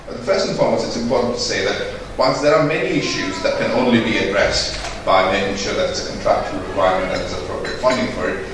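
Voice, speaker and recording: Male speaker, echoey function room. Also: medium pitch. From northern England. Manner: conversational, interactive, asks for a show of hands. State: recovering from a cold. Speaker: GP and medical director, guest speaker. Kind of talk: conference presentation to an audience. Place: large dim hotel function room. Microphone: handheld mic, filmed from a distant camera. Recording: echoey room from far off, noisy.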